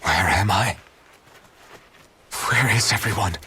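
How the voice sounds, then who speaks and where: A man playing a dazed, troubled young fantasy hero in a game, halting and subdued. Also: lowish voice, pushed and bright, slight background noise. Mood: dazed, searching. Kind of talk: dramatic game cutscene acting.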